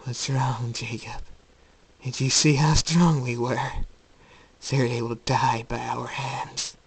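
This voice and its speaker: A man's voice: medium pitch, fairly even intonation, slow, breathy edge, dry recording, distant mic, poor audio. A man voicing an insane, menacing inner voice that taunts its victim in an amateur story reading. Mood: gloating. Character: insane sinister voice in a tormented young man's head, taunting.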